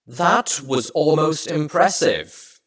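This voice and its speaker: A man's voice: on the high side, expressive, slow, a bit bright, voice pushed up. A man voicing a jaded, taunting winged unicorn mare villain with a grand, mocking drawl.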